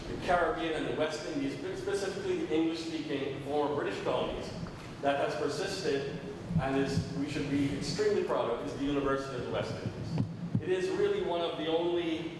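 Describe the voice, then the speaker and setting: A Jamaican-born man raised in Canada, a professor giving a frank, measured talk at a conference. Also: fairly high pitch. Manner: frank, measured, with frequent restarts. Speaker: professor, symposium speaker. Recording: echoey hall, distant mic, noisy.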